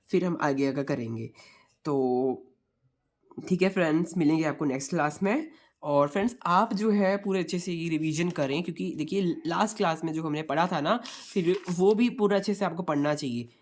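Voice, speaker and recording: A hoarse young man with a sore throat gives an exam revision lecture online, explaining in a repetitive way and calling his viewers friends. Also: on the high side, a little mellow. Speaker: online tutor.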